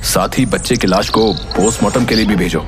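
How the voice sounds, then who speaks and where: A man's voice: on the low side, fairly quick. A man giving dramatic voice to village characters and a teacher in a horror cartoon. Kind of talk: cartoon dialogue. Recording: over loud music.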